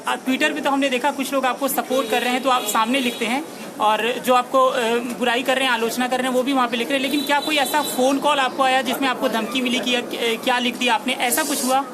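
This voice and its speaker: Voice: high voice, steady tone, quick pace. A newspaper reporter asking a question at a press conference. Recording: noisy press gathering.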